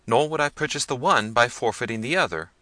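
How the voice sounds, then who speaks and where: A man voicing a bold young peasant who answers calmly and firmly. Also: medium pitch, fairly quick, no room sound, voice pushed up, lightly acted. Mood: steady. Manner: calm, firm, dignified.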